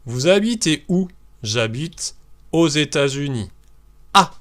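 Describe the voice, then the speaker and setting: French teacher guy from france, talks super slow, voice goes up and down a lot, spells out each example. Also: on the high side, expressive, a bit bright. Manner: slow, deliberate model sentences for learners to repeat. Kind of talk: language lesson for beginners.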